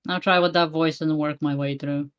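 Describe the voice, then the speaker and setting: A woman's voice: deep voice, fairly even intonation, a little fast, clear, resonant tone. A woman voice actor in casual chat, chatty and self-deprecating, often laughing at herself. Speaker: fan voice actor.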